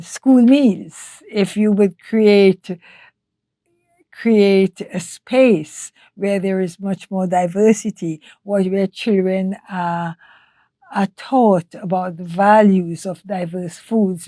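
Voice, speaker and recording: Older trinidadian woman, slow and careful, dry close sound. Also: mid-range voice, dark tone, no room sound. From Trinidad and Tobago. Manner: measured, with frequent restarts. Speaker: guest, nutrition scientist. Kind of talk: podcast interview.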